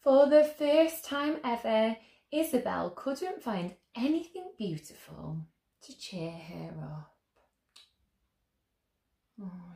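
A young woman reading a picture book aloud to children as a storyteller, expressively, with gentle questions to her listeners. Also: on the high side, creaky. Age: young adult. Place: small room at home, indoors. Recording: roomy indoor echo.

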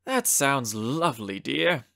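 An actor playing a suave, courteous gentleman hunter in a comic dub, speaking in a genteel, theatrical way with a calm menace. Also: medium pitch, takes it slowly, gravelly, heavily acted. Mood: fond, wry. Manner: genteel, theatrical, old-fashioned endearments.

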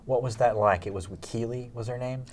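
A man's voice: mid-range voice, fairly quick. A middle-aged man hosting a conversational on-camera interview, putting questions to his guests. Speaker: interview host.

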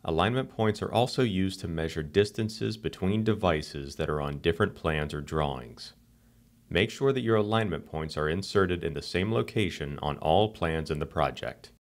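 Deep-voiced man narrating a software tutorial. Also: moderate pace. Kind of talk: scripted screencast narration.

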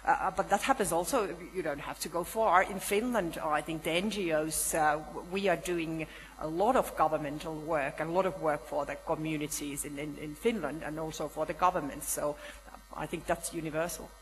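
A middle-aged woman on a panel, answering questions thoughtfully with halting restarts, on a conference hall stage. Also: low-pitched, fairly even intonation. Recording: reverberant hall with faint hum.

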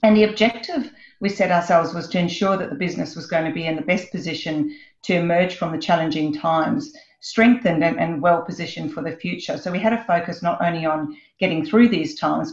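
A woman's voice: sits low, steady tone, muffled recording. Composed businesswoman on a roomy video call. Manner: measured, composed corporate delivery. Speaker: business executive panelist. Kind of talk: webinar panel answer.

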